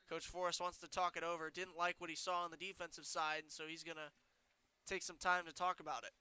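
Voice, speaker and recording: A young man calling high school football play-by-play, a little congested and nasal, getting quick and excited on big plays. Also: clean tone, no echo. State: congested, says he sounds nasal. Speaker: play-by-play announcer.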